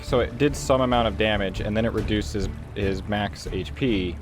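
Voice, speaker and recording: A man's voice: on the low side, normal speed. A man playing a tabletop roleplaying game, chatting casually in an informal, questioning and joking way. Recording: clear music behind the voice. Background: music bed.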